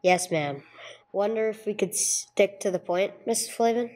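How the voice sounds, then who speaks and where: A woman's voice: medium pitch, normal speed, darkish tone, lightly acted. A woman playing a male police sergeant in an amateur radio play, terse and matter-of-fact as he narrates and questions. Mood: businesslike, probing. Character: male police sergeant, businesslike, narrating his own case. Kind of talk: 1950s police radio drama acting, amateur church production.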